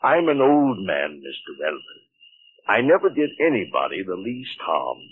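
A man's voice: fairly low pitch, big pitch swings, moderate pace, breathy edge. A man playing an old professor in a 1940s radio drama, indignant and formal. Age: older. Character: old male professor, defensive and proud. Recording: muffled old radio recording.

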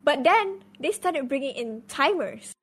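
A woman's voice: sits high, animated, unhurried. A young adult woman, a guest on a live stream, chatting casually and swearing as she tells a story.

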